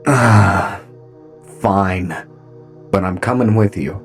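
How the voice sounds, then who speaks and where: Grave robot leader for audio drama, man performing; deep voice, unhurried and deliberate, cautious authority. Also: faint music behind. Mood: reluctant, concerned. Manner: deliberate, measured.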